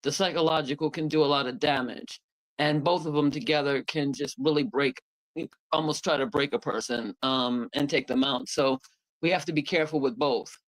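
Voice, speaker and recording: A woman's voice: low-pitched, normal speed, rough recording. A woman on a discussion panel, speaking candidly and reflectively, with many hesitations.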